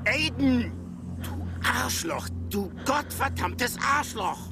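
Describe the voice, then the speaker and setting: A man's voice: very high voice, lively intonation, takes it slowly, over music, full character voice. An actor playing a bitter, exasperated male accomplice who scolds bluntly and curses in a German radio crime drama. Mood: enraged, distraught. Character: bitter, exasperated war-veteran accomplice, a gruff man.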